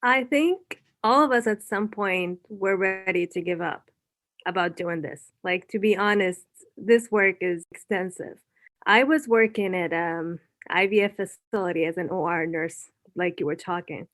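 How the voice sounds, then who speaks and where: A woman's voice: lowish voice, a little slow, a bit bright, no room sound. Woman, from Egypt, medical student, hesitant, fillers, dry close sound. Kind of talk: panel interview.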